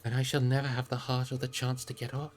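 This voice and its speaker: A reader voicing a gentle but resolute male hobbit gentleman in a storybook, well-spoken and fond of his servant. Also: mid-range voice, flat delivery, quick pace, faint music behind, close to an everyday voice. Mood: exasperated, resolute.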